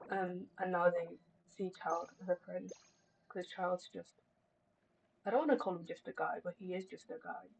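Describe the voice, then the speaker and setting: A woman's voice: lowish voice, breathy edge, poor audio. A woman streaming a game, chatting casually in a rambling, joking way.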